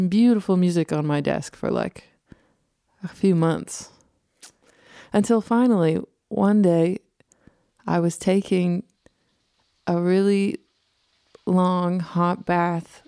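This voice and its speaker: A woman with a low, gravelly voice tells the story of how she wrote a song. Her tone is mellow and warm, and she takes her time with small restarts. The recording is close and dry. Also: no room sound. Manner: thoughtful and unhurried, with small restarts. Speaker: podcast host and songwriter. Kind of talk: reflective storytelling.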